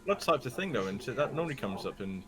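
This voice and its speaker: Guy chatting on a game stream, mid voice, music clearly playing behind him. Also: mid-range voice, sing-song, fast talker. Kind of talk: casual chat.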